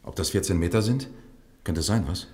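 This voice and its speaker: Actor as brisk, low-voiced police captain. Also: male voice, warm tone, close to an everyday voice. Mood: brisk, commanding. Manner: commanding, matter-of-fact. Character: brisk East German police captain leading an investigation. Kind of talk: German radio crime drama acting.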